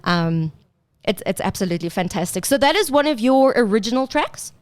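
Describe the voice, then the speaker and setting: A woman's voice: on the high side, lively intonation, brisk, darkish tone, dry recording, studio quality. A woman hosting a radio interview, gushing and effusive in her praise. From South Africa. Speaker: radio host. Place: radio studio.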